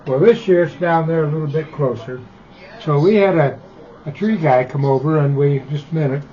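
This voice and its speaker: An older man in easygoing chat on a ham radio call, talking in a relaxed, conversational way. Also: on the high side, moderate pace. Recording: dull, muffled radio audio.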